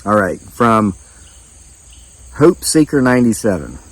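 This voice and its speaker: Hoarse, indignant man talking to his viewers. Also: on the low side, big pitch swings, a little slow, dry recording. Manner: indignant, folksy, addresses viewers as folks. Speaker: channel host. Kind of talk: talking to camera, reading viewer comments.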